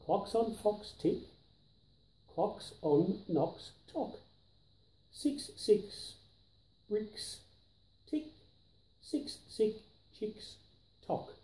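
Older man, children's read-aloud, emphatic rhyming, animated intonation, mellow tone, roomy living room sound. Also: high-pitched, very slow, long pauses. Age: 60s or 70s. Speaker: storyteller reading to children.

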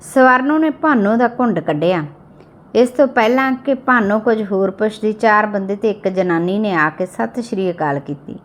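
A woman's voice: medium pitch, normal speed. A woman reading a scripted, sad family story as its narrator.

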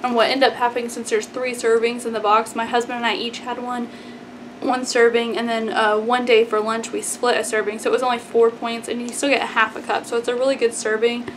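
A young American woman with a fairly high voice talks casually to camera in a chatty vlog style. She peppers her informal talk with "like" and "y'all". The recording has clear background noise from the room. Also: little pitch movement, fairly quick, low-quality recording. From Texas, United States. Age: 20s. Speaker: vlogger. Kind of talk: casual grocery haul chat to camera. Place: small plain indoor room.

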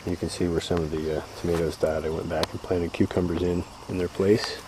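A man's voice: low voice, level tone, fairly quick. A man giving a casual walking tour of his vegetable farm, talking easygoing with lots of "uh" fillers. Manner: easygoing, rambling, frequent "uh" fillers. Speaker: farmer giving a garden tour. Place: outdoor vegetable farm fields. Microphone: handheld camera mic, walking. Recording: outdoor camera audio with insect noise. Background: crickets and insects outdoors.

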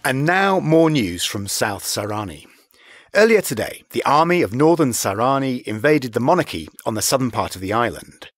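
An actor playing a solemn television news anchor, reading a formal, emphatic bulletin in a game. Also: male voice, mid-range voice, very animated, moderate pace, dry recording, soft background music. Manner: emphatic newsreader delivery. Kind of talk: formal TV newscast in a game cutscene.

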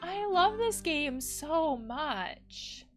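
A woman's voice: sits high, very animated, extremely slow, over music. A woman in her thirties giving casual commentary as a therapist playing a game. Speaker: host, therapist playing games. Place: small indoor room.

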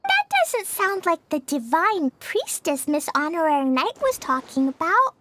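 A woman voicing a tiny, chatty fairy companion in a video game, squeaky and childlike. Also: very animated, a little slow, pushed and bright, slight background noise, full character voice. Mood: puzzled. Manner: refers to herself in third person.

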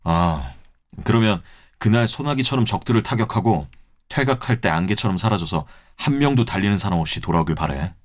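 Man doing a calm student protest leader, low voice, brisk, commanding. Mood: steady, commanding. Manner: brisk and commanding, plans like a field officer. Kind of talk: audio drama acting.

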